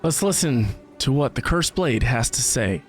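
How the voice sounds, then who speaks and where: A man's voice: medium pitch, animated, moderate pace, faint music behind. A man giving casual reaction commentary as the host of a gaming video.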